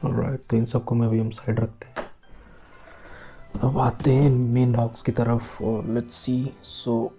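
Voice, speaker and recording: A man giving casual unboxing commentary as a product vlogger, speaking haltingly in short broken phrases. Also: medium pitch, flat delivery, dark tone. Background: background music.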